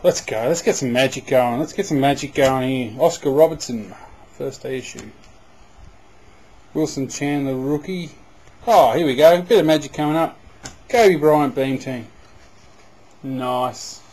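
A man giving casual running commentary as he opens packs of trading cards, breaking into loud bursts of excitement. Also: mid-range voice, a little slow, long pauses, breathy edge. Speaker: card box breaker. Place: indoors at a table.

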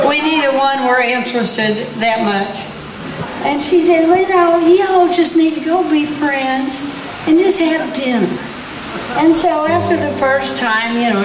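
An older woman, a singer-songwriter, chatting warmly and rambling between songs at a wedding, her voice hoarse. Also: high-pitched, recorded from a distance, very noisy. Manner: rambling, fond, unhurried. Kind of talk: casual stage chat between songs. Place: large venue stage, live band. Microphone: stage vocal mic on a stand. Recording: roomy live sound heard from afar. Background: band playing.

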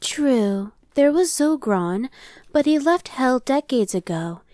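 Female demon searcher, played by a woman: impatient, dismissive, conversational ASMR roleplay, slightly high pitch, very dry sound. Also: animated, slow pace, mellow, no room sound. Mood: sardonic. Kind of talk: ASMR roleplay, one-sided dialogue.

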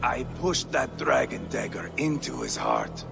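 An actor playing a treacherous, unhinged Viking warlord in a game cutscene, taunting with sudden shouts. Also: male voice, wildly swooping pitch, unhurried, creaky, heavily acted. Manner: taunting, swings between low menace and shouting. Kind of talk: video game cutscene acting. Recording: music under dialogue.

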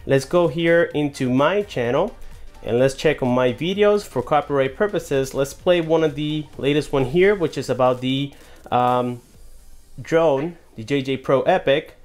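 A man reviews a gadget from his desk, talking casually and addressing viewers as guys. His pitch sits in the middle, and faint music plays beneath. The tone is relaxed demo chatter. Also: unhurried. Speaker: tech reviewer. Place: indoors at a desk.

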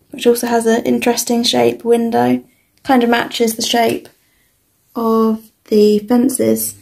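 A young woman with a British accent giving a casual, informal toy review as an unhurried walkthrough. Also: fairly high pitch, vocal fry, darkish tone.

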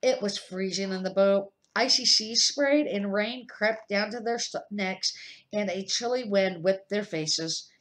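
A middle-aged woman reading a children's novel aloud as an amateur, slightly halting and correcting her words as she goes. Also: mid-range voice, a bit bright. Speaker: amateur storybook reader.

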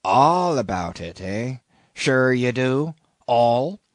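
A man's voice: medium pitch, very animated, slow pace, dry recording. A man voicing a shabby old sailor in an audiobook, an ominous, derisive stranger who talks insistently in archaic seafaring speech. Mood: ominous, needling. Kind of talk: audiobook character reading.

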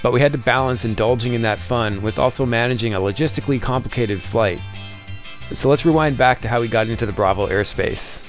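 A man's voice: medium pitch, brisk. A man hosting a flying vlog, reading scripted narration in an enthusiastic manner. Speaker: vlog host and student pilot. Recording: music under the voice. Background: music.

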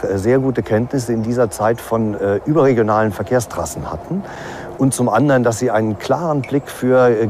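A male expert gives an interview explanation in a fairly low voice. He hesitates often as he works through his points. There is slight ambient noise around him. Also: darkish tone. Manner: hesitant, frequent pauses with "äh" while reasoning.

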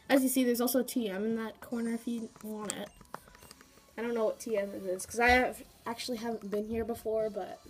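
A woman's voice: fairly high pitch, a little mellow. Chatty woman with a cold, game walkthrough. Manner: chatty, rambling with fillers and asides. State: has a cold, sniffling. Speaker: gaming YouTuber. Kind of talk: casual game walkthrough commentary.

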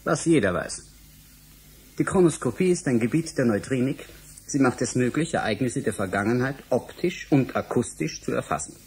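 An actor playing a polite, evasive academic official in a German radio drama, formal and measured. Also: male voice, medium pitch, lively intonation, a little mellow, husky. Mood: reserved, polite.

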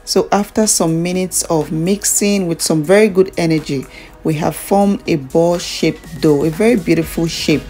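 Low-pitched Nigerian woman, cooking vlogger. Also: moderate pace, warm tone. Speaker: food vlogger. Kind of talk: casual cooking tutorial narration. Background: background music.

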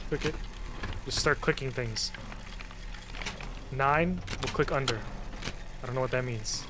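A man giving casual let's-play commentary on a horror game, talking to himself, repeating phrases and getting excitable when startled. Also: mid-range voice, a little slow, noisy background. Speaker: host, gamer. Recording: loud game soundtrack under the voice. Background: loud horror game music and sound.

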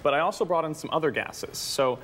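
A man's voice: a little high, brisk, fairly bright tone. A man in his twenties giving a casual, playful science demonstration as a guest educator in a TV studio. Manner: playful, conversational. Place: TV news studio. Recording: clearly noisy studio background.

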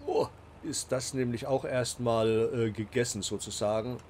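A man's voice: mid-range voice, steady tone, a little slow, a little mellow, slight background noise. A man with a cold, hosting a casual let's play and talking along with many fillers and restarts. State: has a cold. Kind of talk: casual game commentary.

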